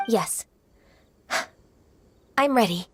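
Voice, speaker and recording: A woman playing a gentle, earnest, reserved young half-immortal in a video game, speaking politely and softly. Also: on the high side, lively intonation, drawn-out words, slight background noise. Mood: meek, hesitant.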